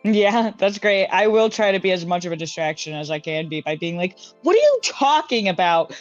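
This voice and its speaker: Woman player, slightly low voice, bantering and argumentative; dry, slightly muffled sound. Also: little pitch movement, brisk, dry recording. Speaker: player in a tabletop roleplaying game. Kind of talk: casual game-table banter. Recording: dry, muffled audio with music underneath. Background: background music.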